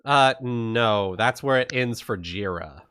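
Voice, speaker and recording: A man's voice: mid-range voice, takes it slowly, pushed and bright, clear, resonant tone. A man playing a tabletop role-playing game in a laid-back way, explaining vision ranges and rules. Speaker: player. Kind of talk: casual play over voice chat.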